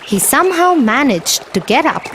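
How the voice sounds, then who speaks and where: A storyteller narrating a children's tale in an expressive, deliberate way, with music behind. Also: sits high, noisy background.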